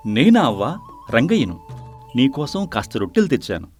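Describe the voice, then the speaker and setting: A kind, earnest villager in a dramatic moral story. His delivery is emphatic and very animated at a medium pitch. Soft music runs behind. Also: moderate pace. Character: kind, earnest village man bringing food to grandmother. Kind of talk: melodramatic story acting.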